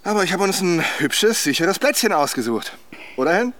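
An actor playing a boisterous, jovial middle-aged husband in a naturalistic radio drama, garrulous and bantering. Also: male voice, high voice, very animated, fairly quick, fairly bright tone.

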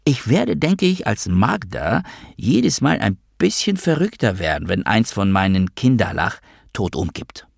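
A man's voice: fairly low pitch, sing-song, a little slow, creaky, dry recording, heavily acted. Guy doing a pushy young woman, big and bossy, ordering people around, audiobook dialogue, dry sound. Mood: bossy, reproachful. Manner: commanding, insistent. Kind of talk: audiobook character voice.

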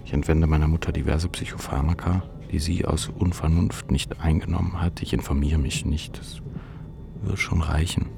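An actor playing a despairing, ashamed young man of twenty-four who narrates his depression in a bleak, measured radio drama monologue. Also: rumbling low, fairly even intonation, creaky, noisy background, exaggerated voice. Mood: resigned despair.

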